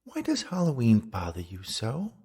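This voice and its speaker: A man voicing a soothing older female ghost with a soft, coaxing manner in a storybook reading. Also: mid-range voice, huge pitch swings, moderate pace, husky, pitched above the performer's own voice, full character voice.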